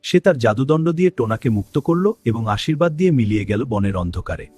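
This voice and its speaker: A man's voice: medium pitch, animated, fast talker, a little mellow, slightly rough, no room sound. A man narrating a cartoon fairy tale in a storytelling manner. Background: faint music.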